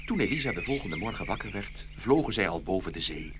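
A man's voice: low-pitched, animated, quick pace, background noise. A man narrating a fairy tale as a scripted storyteller.

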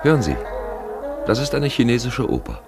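A man narrating a literary radio feature in an emphatic, wry storytelling manner, with a gravelly voice. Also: medium pitch, wildly swooping pitch, normal speed. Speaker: narrator and presenter. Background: music.

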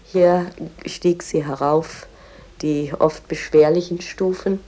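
A woman explaining a writer's life in a measured, knowledgeable way, offering her own opinions as she goes. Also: deep voice, steady tone, mellow. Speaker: commentator and guide on a poet's life. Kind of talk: informal literary-historical explanation.

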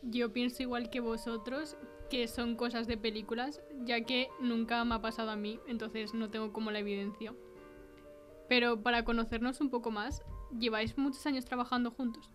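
A woman podcast host with an accent from Spain and a slightly high voice. She presents and puts questions to guests in an interview style, with faint music underneath. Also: little pitch movement, moderate pace. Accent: Spain Spanish. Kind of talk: hosting a mystery interview podcast.

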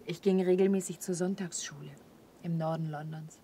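A woman's voice: on the low side, steady tone, moderate pace, mellow, natural voice. An actress playing a wistful, vulnerable young woman in a German radio play, hesitant and pensive in her delivery. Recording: clearly audible background ambience. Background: ambience noise.

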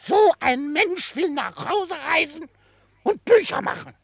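A quirky old trapper of the Wild West in a radio play. His voice is gravelly and swings up and down as he grumbles and blusters with gruff fondness. The sound is close and dry. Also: very high voice, a little slow, no room sound, full character voice. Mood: exasperated fondness. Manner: excitable, grumbling, chuckling, theatrically indignant. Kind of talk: German radio drama acting.

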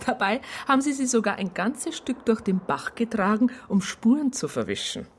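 A woman's voice: on the high side, sing-song, normal speed, slight background noise. An actress playing an earnest, aggrieved woman who narrates events in a conversational, detailed way with a southern German accent. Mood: exasperated recounting. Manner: conversational, detailed, exasperated storytelling. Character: earnest, aggrieved female doctor recounting a police case. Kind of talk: first-person radio drama narration.

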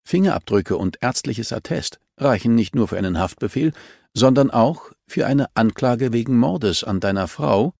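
Man reading as a cool policewoman grilling a suspect, crime audiobook, calm but pushy, slight creak. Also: mid-range voice, moderate pace, no echo, clean studio sound, voice pushed up. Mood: smug. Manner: calm, insistent, accusatory.

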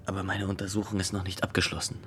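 A man's voice: low voice, completely flat delivery, a bit bright, a little hiss or hum. Stiff, rule-bound young male inspector. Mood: stiff, unyielding. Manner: stiff, formal, deliberate. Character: rigid, rule-bound young fox inspector, official and earnest. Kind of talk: radio drama acting.

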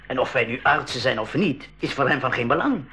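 A practical go-between in an adventure radio play. He has a medium-pitched voice and lays things out in a matter-of-fact, explanatory way. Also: expressive, fairly quick, bright, forceful tone. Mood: earnest, explaining. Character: William, practical go-between and adventurer among the travellers. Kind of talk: 1980s school radio drama acting.

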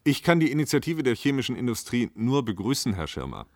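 A man's voice: medium pitch, big pitch swings, fast talker, a little mellow, dry recording, studio quality. An actor playing a stiff, formal and cautious male bureaucrat in a radio drama panel.

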